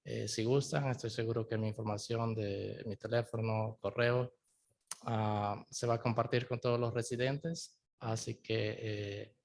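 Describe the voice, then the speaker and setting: A man's voice: fairly low pitch, fairly even intonation, moderate pace, gravelly, darkish tone. A young man from El Salvador, a native Spanish speaker, introducing himself as a code officer at a town council meeting, with lots of hesitant filler sounds.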